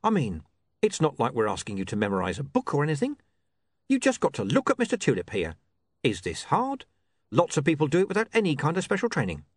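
A man voicing a smooth-talking criminal boss who persuades with wry patience and quiet menace. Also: fairly high pitch, huge pitch swings, quick pace, no echo, pitched above the performer's own voice. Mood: coaxing.

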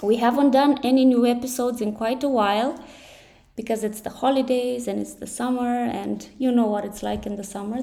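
Woman, podcast host, higher pitch, mellow tone, friendly encouraging interviewer. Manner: friendly, encouraging, frequent affirmations.

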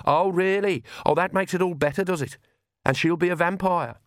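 A man voicing a sharp, sceptical young woman with pointed, sarcastic retorts for an audiobook. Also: high-pitched, normal speed, no room sound, studio quality, pitched above the performer's own voice. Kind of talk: audiobook character voice.

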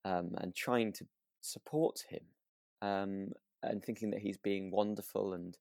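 A man's voice: lowish voice, fairly even intonation, a little slow, gravelly, darkish tone, no room sound, studio quality. A British man co-hosting a casual discussion of a radio drama, hesitant and often rephrasing himself as he goes. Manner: hesitant, circling phrasing with self-interruptions. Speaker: podcast co-host.

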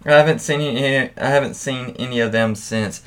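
A man's voice: medium pitch, a little fast, fairly bright tone. A man hosting a casual story read-aloud, speaking candidly and crudely with his own reaction asides. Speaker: host reading stories aloud with commentary.

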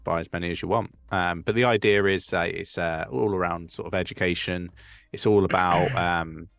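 Brief: very low, gravelly male co-host, laid-back livestream chat, dry close mic. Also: moderate pace, no room sound, faint music behind. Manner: relaxed, chatty, reads live chat questions. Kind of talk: casual crypto livestream chat.

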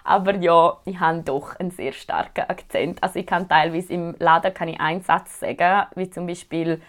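A woman's voice: lowish voice, moderate pace. A young woman in her twenties from Switzerland with a Swiss German accent, chatting casually to viewers as she answers their questions. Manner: chatty, relaxed, many fillers. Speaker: vlogger.

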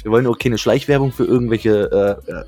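Ein Mann in seinen Zwanzigern, Co-Moderator eines Podcasts, plaudert locker und scherzt viel. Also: male voice, on the low side, brisk, darkish tone, faint music behind. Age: 20s. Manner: laughs often, joking. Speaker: podcast co-host. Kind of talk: casual chat.

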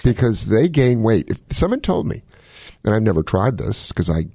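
A man's voice: lowish voice, big pitch swings, moderate pace, mellow, dry recording. A man hosting a radio talk show, giving casual, folksy health advice with plenty of joking. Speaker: medical expert radio host.

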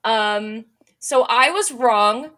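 A young woman with a bright, forceful voice that sits a little high. She hosts a casual sports podcast in a chatty, upbeat way and laughs at her own mistakes. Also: drawn-out words. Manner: chatty, self-mocking, upbeat. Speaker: podcast host.

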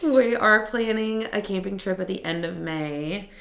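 A woman's voice: medium pitch, unhurried, gravelly, echoey room. A young woman co-hosting a podcast in casual chat, a little stuffy and nasal, laid-back and giggly. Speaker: podcast co-host. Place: indoors at home, dog nearby.

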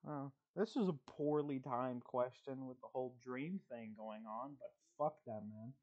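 A man's voice: medium pitch, unhurried, a little mellow. Loose-talking male podcast host, lo-fi sound. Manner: loose, profane, rambling storytelling. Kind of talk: casual podcast chat.

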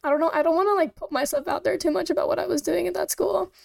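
A young woman from Pakistan talks alone into her own microphone, hosting a casual podcast. Her voice sits high. She rambles candidly and fills every pause with "like" and "um". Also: fairly even intonation, gravelly, a little mellow. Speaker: podcast host. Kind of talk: casual solo chat.

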